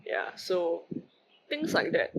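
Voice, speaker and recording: A man's voice: high-pitched, sing-song, takes it slowly, creaky, a little hiss or hum, rough recording. A young man with a Singaporean accent, talking through his thoughts in a casual, rambling monologue filled with fillers and asides. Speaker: vlogger.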